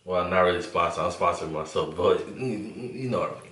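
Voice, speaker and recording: A young man from the Bronx talks in a relaxed, halting way, filling his pauses with ums. His voice sits very low. He sounds set back from the mic, in a room with a noticeable echo. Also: a little hiss or hum. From the Bronx, New York. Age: 20s. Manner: laid-back, halting with many fillers. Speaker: guest. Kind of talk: casual conversational vlog. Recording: roomy and distant from mic.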